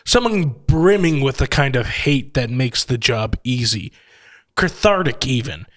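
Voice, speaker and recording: An actor playing a gloating, sardonic killer who collects machines, with mocking, theatrically polite menace in an audio horror story. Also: male voice, mid-range voice, lively intonation, unhurried, fairly bright tone. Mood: smug, eager.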